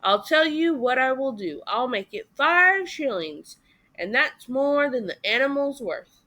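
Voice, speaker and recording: Shrewd storybook male horse trader, blunt, folksy, bargaining, slow delivery, played by a woman. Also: sits high, expressive, sharp tone, full character voice.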